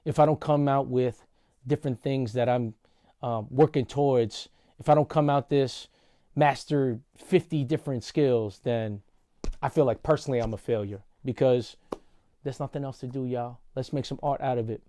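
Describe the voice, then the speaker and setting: A man's voice: medium pitch, moderate pace, no echo. A man, talking casually in a vlog monologue and often saying "y'all". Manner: relaxed, conversational, says "y'all" often. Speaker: podcast host and referee.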